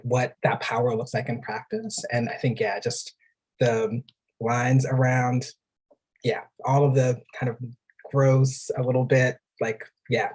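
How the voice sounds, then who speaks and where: A speaker chatting casually in a literary discussion, halting and often breaking off to rephrase. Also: mid-range voice, darkish tone, slightly rough, low-quality recording.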